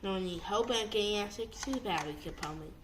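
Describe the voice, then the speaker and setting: A noisy home recording with clicks carries this voice. The speaker presents an unboxing casually, at a medium pitch for a woman, talking in short and halting phrases. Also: a bit bright. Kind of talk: casual unboxing vlog talk.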